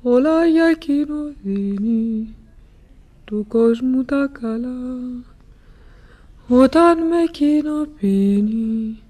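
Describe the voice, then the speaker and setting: Young girl softly singing a sad old ballad in a radio play, highish gentle lilting voice. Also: animated. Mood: wistful. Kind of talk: sung ballad in radio drama.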